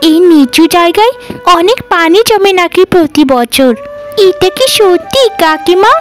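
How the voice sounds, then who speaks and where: A woman's voice: very high-pitched, animated, measured pace, soft background music. A woman voicing an earnest, selfless little village girl in cartoon dialogue. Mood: worried, curious.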